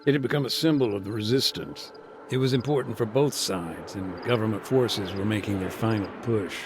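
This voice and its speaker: An actor playing a weary, earnest humanitarian worker recounting a war in a measured, hesitant way for a video game. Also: male voice, fairly low pitch, music in the background, natural voice. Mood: somber, weary. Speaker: humanitarian aid worker being interviewed. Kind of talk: naturalistic video game dialogue, interview recollection.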